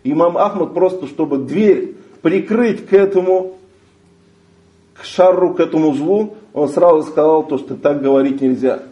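A man's voice: a little high, moderate pace, warm tone, roomy sound, muffled recording. A man teaching a religious lesson, reading a passage aloud and translating it phrase by phrase. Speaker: Islamic teacher.

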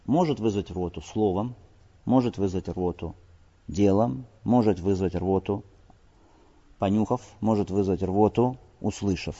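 A man's voice: fairly low pitch, mellow, slightly rough. A man giving an Islamic lesson as a teacher, explaining didactically with rhetorical questions and Arabic terms mixed into his Russian. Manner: didactic, asks rhetorical questions and answers them. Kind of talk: religious lesson, explaining hadith line by line. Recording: dull lo-fi audio in reverberant hall.

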